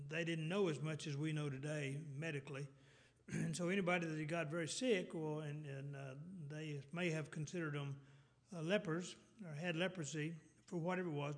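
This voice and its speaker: A middle-aged preacher with a Texas drawl, his voice sitting a little high for a man. He works through his sermon in loose, rambling sentences, doubling back and restarting often. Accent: Texan. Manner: rambling, many restarts and fillers, clears throat.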